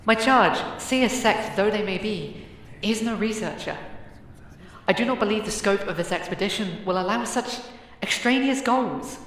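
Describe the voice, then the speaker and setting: A woman playing a stern, shrewd mother in a fantasy audio drama, speaking in a measured, persuasive way with dry affection. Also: mid-range voice, lively intonation, a bit bright, a little hiss or hum, close to an everyday voice, poor audio. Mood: formal, restrained. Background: music.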